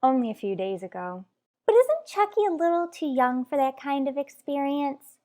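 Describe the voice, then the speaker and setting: A woman's voice: high voice, big pitch swings, normal speed, darkish tone. A woman playing an anxious, protective mother in an old-time radio soap, earnest and troubled, questioning as she goes. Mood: worried. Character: anxious, wounded mother protective of her young son.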